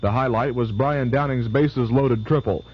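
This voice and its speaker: A man reading the sports results and weather forecast on radio news in a brisk, even way. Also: medium pitch, breathy edge. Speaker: radio newscaster. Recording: lo-fi 1970s AM radio.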